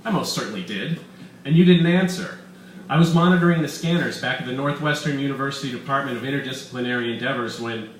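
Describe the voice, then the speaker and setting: Man playing a scolding professor heroine, formal, forceful, short-story dialogue, echoey, faint background noise. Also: medium pitch, quick pace, natural voice. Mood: accusing. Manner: stern, clipped, formal. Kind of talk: live short-story reading, character dialogue. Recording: echoey room, distant mic, background noise.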